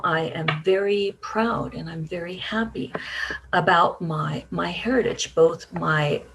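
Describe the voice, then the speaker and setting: A woman guest speaker on a video call telling a personal story, hesitant with many pauses and restarts. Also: fairly low pitch, gravelly, a little hiss or hum, rough recording. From Japan.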